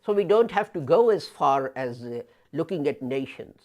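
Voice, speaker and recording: A man in his fifties giving a sermon as an imam, speaking deliberately with pauses and rising emphasis, recorded in a small studio. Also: fairly high pitch, animated, mellow. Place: small studio before a printed backdrop. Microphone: fixed camera, head and shoulders framing.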